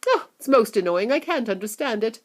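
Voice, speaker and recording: A woman's voice: a little high, lively intonation, brisk. A woman reading a storybook aloud and voicing an eccentric male inventor who sighs and talks ruefully. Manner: sighing, rueful.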